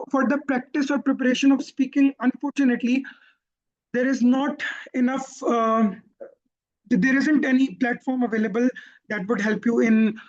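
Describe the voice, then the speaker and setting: A man with a Pakistani accent teaching exam skills in a webinar, explaining at length and often correcting himself. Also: high voice. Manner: explanatory, repeats points and self-corrects. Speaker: IELTS tutor. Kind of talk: webinar teaching and answering questions. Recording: dull lo-fi online call audio.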